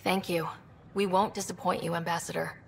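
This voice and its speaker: A woman's voice: on the low side, little pitch movement, a little fast, gravelly, a little hiss or hum. An actress playing a young, composed and earnest explorer who leads her team in a video game. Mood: earnest, diplomatic.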